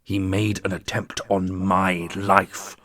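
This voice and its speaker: A man playing a proud, earnest dark prince in a fantasy audio roleplay, speaking formally. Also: low-pitched, level tone, slow pace. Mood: indignant.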